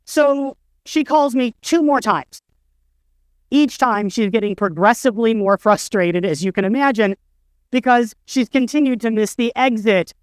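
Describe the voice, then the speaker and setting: A man's voice: very high voice, a little slow, clear, resonant tone, no echo. A middle-aged man giving a conference talk, telling stories with wry, self-deprecating humor. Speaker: conference speaker. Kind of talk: storytelling conference keynote. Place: conference stage, indoors.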